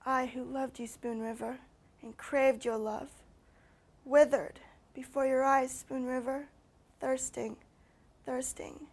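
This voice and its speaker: A woman performing a dramatic poem as a forsaken lover grieving from the grave, drawn-out and anguished. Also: high-pitched, little pitch movement, exaggerated voice. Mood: anguished, mournful. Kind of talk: dramatic poetry reading by drama student.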